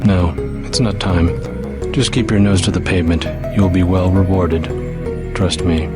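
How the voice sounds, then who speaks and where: A man playing a secretive, patronizing schemer in a video game, speaking deliberately. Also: deep voice, fairly even intonation, over loud music. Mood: smug. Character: secretive, condescending powerful male schemer.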